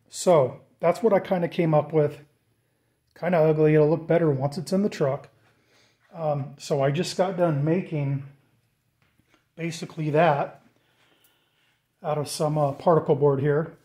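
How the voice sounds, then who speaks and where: A man giving a casual, off-the-cuff walkthrough of a DIY project, informal and thinking out loud as he goes. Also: fairly high pitch, fairly even intonation, unhurried, pauses often. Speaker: DIY vlogger presenting a build.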